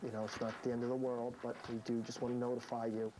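An American man in his thirties, a high school principal, speaking in a stern, authoritative way. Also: little pitch movement, quick pace, dark tone. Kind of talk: reality show narration and firm talk with a student. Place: school offices and locker room. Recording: noisy reality TV location sound.

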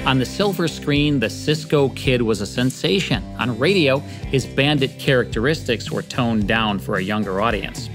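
A man in his fifties, a podcast host, reading a scripted introduction in a studio in a steady, informative way. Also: mid-range voice, moderate pace, hardly any pauses. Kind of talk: scripted narration. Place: studio set with a table. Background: music.

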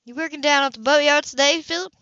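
Woman reading as a bright, gossipy small-town woman. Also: sits high, fast talker, no echo, lo-fi sound, pitched above the performer's own voice. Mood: prying. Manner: chatty, prying.

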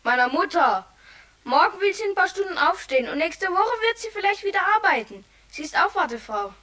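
An earnest little boy with a very high, bright voice. He sounds responsible and plain-spoken, in the manner of an old children's radio drama. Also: big pitch swings, fairly quick, recorded from a distance, full character voice. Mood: matter-of-fact. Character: earnest, responsible, hard-working young boy. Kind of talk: 1960s German children's radio play acting.

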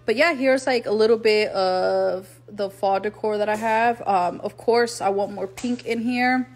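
A woman's voice: mid-range voice, a little slow. A woman giving a casual tour of her house on a handheld phone, chatting with fillers and trailing thoughts. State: out of breath. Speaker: home vlogger. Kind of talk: casual home tour vlog. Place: two-story house indoors, then garage. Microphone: phone camera held in hand.

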